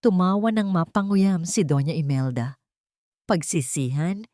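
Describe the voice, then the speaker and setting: A woman narrates a scripted drama audiobook. Her voice sits a little low for a woman. The delivery is story-like and dramatic, and the recording is very dry. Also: lively intonation, normal speed, a little mellow, no echo.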